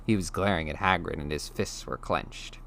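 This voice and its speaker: A man's voice: low voice, normal speed, a bit bright. A man narrating an audiobook, reading scripted children's fantasy prose.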